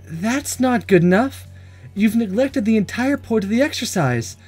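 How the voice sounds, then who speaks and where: Man putting on a high, stubborn schoolgirl. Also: expressive, moderate pace, darkish tone, breathy edge. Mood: insistent. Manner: insistent, argumentative. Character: stubborn, blunt, serious high school girl in visual novel. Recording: music under the voice.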